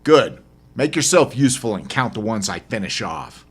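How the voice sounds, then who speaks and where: A man voicing a hard-bitten, short-tempered male survivor in an audiobook, husky and barking out commands. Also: lowish voice, very animated, normal speed. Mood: gruff, commanding.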